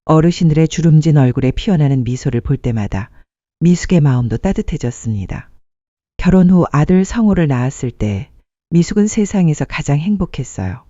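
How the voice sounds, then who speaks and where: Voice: mid-range voice, expressive, fairly quick, warm tone, dry recording, muffled recording. A narrator telling a scripted dramatic life story in an earnest way, posing rhetorical questions.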